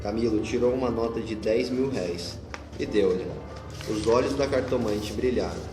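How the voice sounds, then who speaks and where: Low-voiced Brazilian man narrating in echoey hall. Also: normal speed. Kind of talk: scripted radio drama narration. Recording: echoey hall, long reverb, music bed. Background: music.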